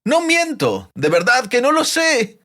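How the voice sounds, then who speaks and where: A man acts out a frightened captive poet from a fantasy audiobook. The voice sits high and swings widely, breathless and whimpering. He pleads and babbles denials in a frantic rush. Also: huge pitch swings, unhurried, sharp tone, full character voice. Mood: frightened insisting. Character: terrified captive bard, pleading and sobbing.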